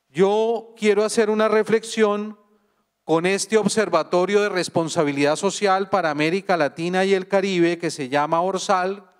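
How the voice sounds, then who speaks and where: Slow Colombian man lecturing in a hall. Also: sits high, clean tone. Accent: Colombian Spanish. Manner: slow, deliberate, addresses audience with questions. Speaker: guest speaker. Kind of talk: university lecture. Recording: long hall reverb.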